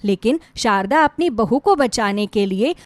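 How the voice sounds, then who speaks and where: A woman's voice: a little high, lively intonation, a little slow, dry recording. A woman narrating a scripted moral story as a storyteller.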